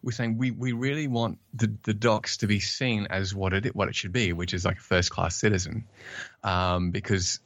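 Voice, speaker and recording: Creaky low-voiced man, hesitant. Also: steady tone, normal speed, fairly bright tone, no room sound, highs cut off. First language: English. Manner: hesitant, many fillers and restarts, polite. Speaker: podcast guest, documentation writer. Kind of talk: conversational interview answers.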